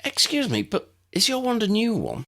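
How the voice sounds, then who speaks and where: A man's voice: sits high, huge pitch swings, moderate pace, vocal fry, bright, forceful tone, pitched above the performer's own voice, exaggerated voice. A man voicing an earnest, polite young witch with a hesitant, eager manner. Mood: curious, apologetic.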